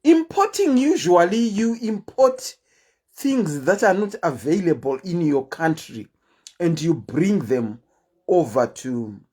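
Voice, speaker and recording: A woman with a Zimbabwean accent and a deep voice, hosting a casual livestream chat and telling stories in a chatty, animated way. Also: slow, husky. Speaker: host.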